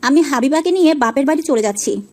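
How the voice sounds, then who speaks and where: A woman's voice: high voice, lively intonation, quick pace, warm tone, no echo. A woman playing a decisive, chatty young sister-in-law in an audio story. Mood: brisk.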